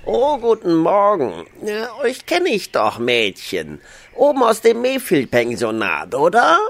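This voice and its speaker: Eine Frau spielt in einem Hörspiel einen freundlichen alten, aus Polen stammenden Gärtner, großväterlich und gemächlich plaudernd. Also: female voice, on the low side, huge pitch swings, full character voice. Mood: friendly. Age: older. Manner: grandfatherly, unhurried, chatty. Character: kindly old Polish-born male gardener, widowed, reminiscing. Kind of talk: scripted audio drama acting.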